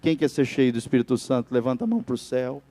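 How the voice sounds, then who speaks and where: A man with a Brazilian Portuguese accent preaching a sermon as pastor, asking his congregation for "amém" and swinging between loud emphatic bursts and quiet stretches. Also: mid-range voice, very animated, fast talker. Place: church.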